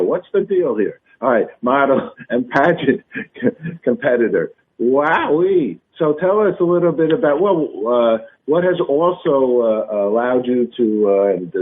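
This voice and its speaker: A man with a middle-pitched voice hosts a casual radio interview. He is chatty and jokey and often trips over his words, filling pauses with um and uh. The sound is thin and narrow, like a phone line. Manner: chatty, jokey, stumbling with many fillers. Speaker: radio show host.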